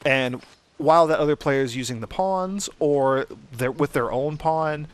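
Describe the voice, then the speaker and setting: A man's voice: a little high, unhurried, smooth. A man hosting a Let's Play, giving casual, easygoing commentary and explanations.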